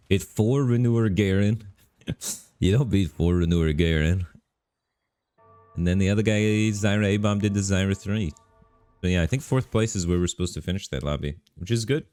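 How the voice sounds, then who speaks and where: Deep-voiced man casually streaming a game. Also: no room sound. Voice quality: bassy voice. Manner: relaxed, rambling, filler-heavy talk. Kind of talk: casual live-stream commentary. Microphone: studio microphone through an audio interface.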